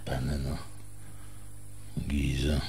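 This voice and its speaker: An actor playing a stern, threatening village schoolteacher in a radio drama, with a pompous, authoritarian manner. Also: male voice, a bass voice, monotone, very slow, gravelly, mellow, a little hiss or hum, full character voice. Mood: coldly authoritarian. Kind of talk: Polish radio drama acting.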